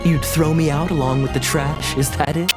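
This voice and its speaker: A man voicing a sly, needling young gentleman in a video game, with a teasing, insinuating delivery. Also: on the high side, fairly even intonation, lightly acted. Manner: teasing, insinuating, drawn-out phrasing. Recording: music behind the voice.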